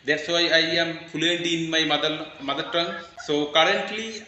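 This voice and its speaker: A man gives earnest advice on learning to speak English, restarting his sentences now and then. His voice is fairly high and bright with some edge. He sounds like he is in a very echoey room. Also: steady tone, moderate pace, a little hiss or hum. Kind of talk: casual practice conversation.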